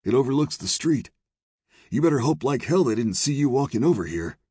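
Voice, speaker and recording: A man voicing a cranky, frightened older saloon owner in a western audiobook, with a raspy, strained, folksy drawl. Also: big pitch swings, fairly quick, mellow, no room sound, clean studio sound. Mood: darkly anxious. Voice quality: raspy, high-pitched strained voice. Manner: folksy Old West drawl, pleading. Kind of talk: western audiobook character dialogue.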